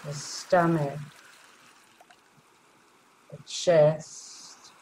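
A woman leading a guided meditation in a gentle, soothing way, leaving long pauses between short phrases. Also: low-pitched, fairly even intonation, slight background noise, rough recording.

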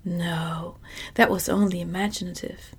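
A woman softly whispering the lines of an earnest, simple-minded wooden man with a pumpkin head in a storybook reading. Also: on the low side, steady tone, unhurried, slightly rough, full character voice.

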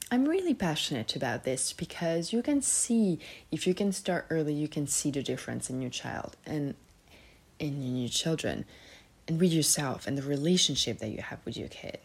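A woman from Serbia talks alone into a podcast microphone. Her voice sits low, and she rambles and corrects herself often, full of fillers. The tone is casual and conversational. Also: darkish tone. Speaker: podcast host. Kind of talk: casual solo podcast talk.

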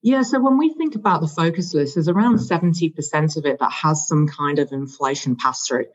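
An investment analyst guest explaining infrastructure investing, often pausing with "you know" fillers. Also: medium pitch, highs cut off. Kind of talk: conversational explanation.

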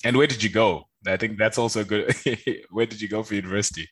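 A man's voice: lowish voice, steady tone, fairly quick, slightly rough. A man hosting a podcast, chatting casually and informally with enthusiasm as he asks questions. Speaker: podcast host. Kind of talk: casual interview chat.

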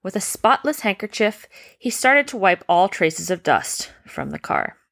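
A woman's voice: mid-range voice, sing-song, measured pace, a bit bright. A woman reading a children's bedtime story as a parent, explaining and checking with questions.

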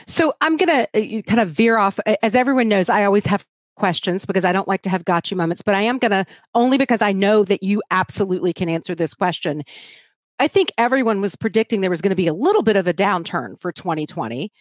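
A woman hosting a live interview stream, chatty and animated, with easy laughter. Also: medium pitch, fast talker, dry recording. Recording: dry close audio.

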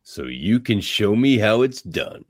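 A man playing a cocky, gruff comic-book tough guy in a live radio theatre read. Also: lowish voice, very animated, measured pace, no room sound, studio quality. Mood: cocky, dry.

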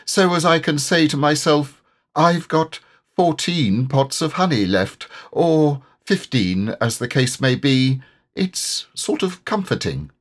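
A man voicing a humble, slow-witted, amiable toy bear in a children's storybook, speaking in a hesitant, earnest way. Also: a little high, animated, voice pushed up. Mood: humble, muddled. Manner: hesitant, ponderous, simple and earnest.

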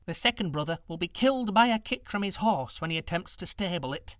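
A man's voice: high-pitched, lively intonation, fairly quick, fairly bright tone, no room sound, studio quality, pitched above the performer's own voice. A man voicing a very old, wise woman who speaks in a prim, scolding, solemn way. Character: hundred-year-old wise woman, stern and sorrowful.

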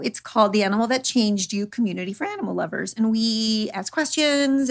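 A woman's voice: mid-range voice, vocal fry, fairly bright tone. A woman hosting a podcast, talking in a chatty, gushing, informal way straight to listeners. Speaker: podcast host. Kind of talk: podcast intro, chatty monologue.

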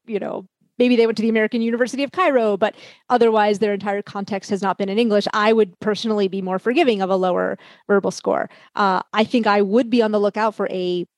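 A woman on a panel discussion, thinking aloud in a measured way with frequent hedges. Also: mid-range voice, no echo.

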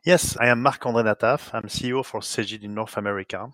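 Brief: French-accented male guest, low-pitched, halting delivery, dry close mic. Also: fairly even intonation, a bit bright, dry recording. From France. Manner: hesitant, many restarts and fillers. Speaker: guest, software company executive. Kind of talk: podcast interview answers.

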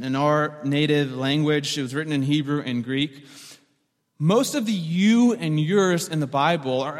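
A man's voice: on the high side, big-room sound. A man preaching a sermon as the pastor, conversational and joking, often repeating words as he restarts a thought.

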